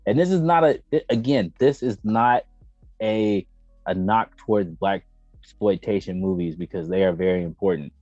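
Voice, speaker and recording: A man's voice: on the low side, moderate pace, dark tone. An American man hosting a casual chat about entertainment, talking in an emphatic, slangy, profane and opinionated way. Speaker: podcast host. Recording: dull lo-fi roomy audio, faint music behind.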